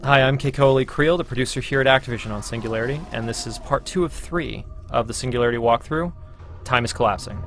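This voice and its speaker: A man giving gameplay walkthrough commentary as a producer presenting a demo, in an explanatory, measured manner. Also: medium pitch. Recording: clear game sound noise behind.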